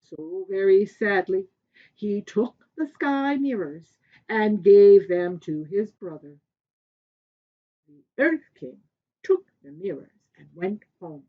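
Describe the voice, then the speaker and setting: Slow, expressive woman storyteller. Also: mid-range voice, narrow, tinny audio. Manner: expressive, deliberate pauses. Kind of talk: animated children's story reading.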